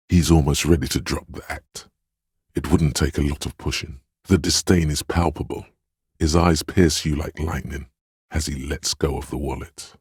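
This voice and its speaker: A man voicing a sensitive inner voice in a video game, narrating other people's feelings in a measured, gentle, literary way. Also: rumbling low, slightly rough, no echo, studio quality, exaggerated voice. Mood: concerned, observant.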